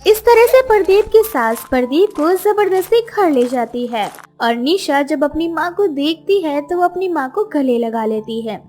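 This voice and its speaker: High, lively woman narrating a story. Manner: expressive, storytelling.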